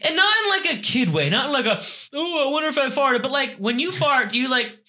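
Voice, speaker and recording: A man's voice: very high-pitched, sing-song, brisk, sharp tone. A young man co-hosting a podcast, rambling casually with lots of fillers and laughter. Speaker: podcast co-host.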